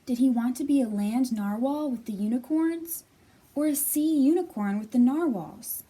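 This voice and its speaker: A woman hosting a children's storytime, reading aloud and leading action rhymes with expressive, simple phrasing for little listeners. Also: high-pitched, normal speed. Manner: expressive, simple instructions addressed to small children. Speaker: children's librarian and storytime host. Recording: distant microphone.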